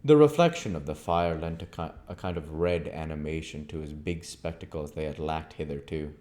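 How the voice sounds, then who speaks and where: A man's voice: very deep voice, normal speed, darkish tone. A man narrating a classic novel aloud as an audiobook reader. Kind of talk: storybook narration, read aloud.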